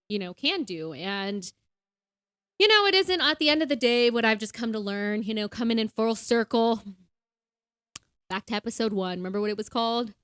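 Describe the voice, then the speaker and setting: A young woman talking casually on a podcast, chatty and full of little fillers, and quick to laugh. Her voice sits at an ordinary middle pitch. The sound is dry and close, with no room around it. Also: fairly bright tone, clear, resonant tone, no echo. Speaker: podcast co-host. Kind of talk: casual faith-based podcast chat.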